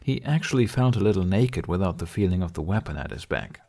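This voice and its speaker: A man reading scripted fiction as an audiobook narrator, with a slightly husky voice. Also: low voice, lively intonation, fast talker, dark tone.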